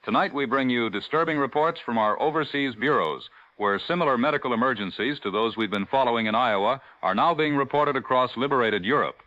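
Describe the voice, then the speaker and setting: Man acting a sharp, formal 1940s radio anchor. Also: medium pitch. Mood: grave, formal. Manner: formal, measured newsreader delivery. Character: grave 1940s radio news anchor, middle-aged man. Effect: old radio filter. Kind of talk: 1940s radio news reading. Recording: dull lo-fi vintage radio broadcast.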